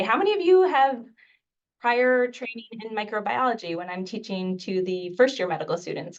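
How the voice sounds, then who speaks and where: A woman's voice: mid-range voice, recorded from a distance. A middle-aged woman from Mexico, Spanish her first language, gives an earnest, conversational mentoring talk full of fillers. Her voice comes through a video call, set back from the mic and slightly dull. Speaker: infectious disease physician and mentor. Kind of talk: webinar talk on presenting. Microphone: video call microphone.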